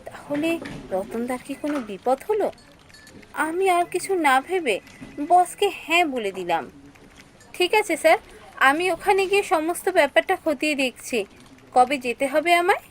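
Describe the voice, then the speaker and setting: A woman's voice: high voice, expressive, normal speed, pauses often. A woman voicing an earnest young female office worker who acts and narrates her own cartoon story expressively. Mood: anxious, then polite.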